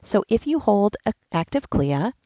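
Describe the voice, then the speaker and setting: A woman's voice: medium pitch, sing-song, measured pace, mellow, no room sound, muffled recording. A woman giving a patient, step-by-step walkthrough of an online application form. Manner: step-by-step instructional, plain and patient. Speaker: enrollment trainer. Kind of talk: screen-recorded software walkthrough.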